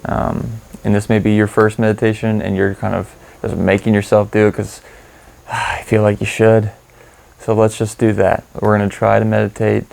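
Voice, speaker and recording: A man leading a guided meditation in an informal, candid and gently instructive way. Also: fairly low pitch, level tone, a little fast, vocal fry, few pauses.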